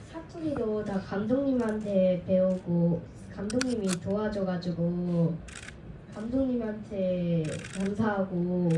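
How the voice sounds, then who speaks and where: A woman, an actress, speaking plainly and modestly about filming. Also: medium pitch. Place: cinema auditorium. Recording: distant, echoey hall with noise.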